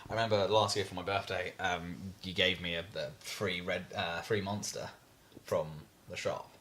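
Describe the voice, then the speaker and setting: A young man co-hosting a podcast, chatting casually in a halting way, with many fillers and restarts. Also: low voice, fairly even intonation, fairly bright tone. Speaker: podcast co-host. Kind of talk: casual chat.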